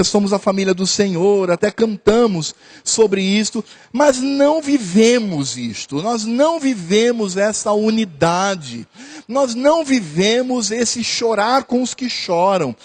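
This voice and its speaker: Pastor, male, high-pitched, earnest sermon delivery with repeated lines. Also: animated. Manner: earnest preaching, repeats phrases, pauses with hesitations.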